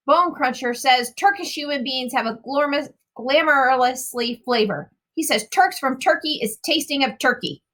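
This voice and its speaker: A woman voicing a huge, boastful man-eating male giant from a storybook, booming and emphatic with muddled grammar. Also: measured pace, few pauses, a bit bright. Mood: relishing.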